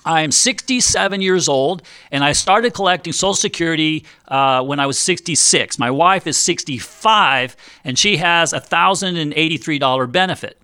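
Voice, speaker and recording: A bright, clear-voiced middle-aged man hosting a money radio show, upbeat and chatty with a salesman's easy patter. His voice is smooth and a little high, close to the mic in a dry studio with no room sound. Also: moderate pace, hardly any pauses, no echo. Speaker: radio show host and financial advisor. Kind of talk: radio call-in style financial advice show. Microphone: studio microphone.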